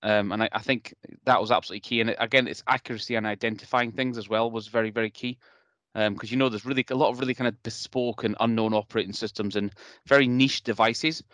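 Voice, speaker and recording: A man's voice: lowish voice, steady tone, fast talker, fairly bright tone, no room sound. A man with a British accent chatting casually as a technical co-host, rephrasing as he goes in an informal way. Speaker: podcast co-host, technical specialist.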